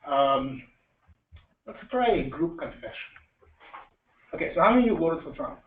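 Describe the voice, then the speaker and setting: A man hosts a live meetup in a loose, joking way, often pausing mid-sentence. His voice sits at a middle pitch, heard from a little way off in an echoey room. The sound is thin and narrow, with faint noise behind it. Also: sing-song, far from the mic. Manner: joking, halting, asks the audience questions. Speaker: meetup host introducing speakers. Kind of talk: informal live presentation to an audience.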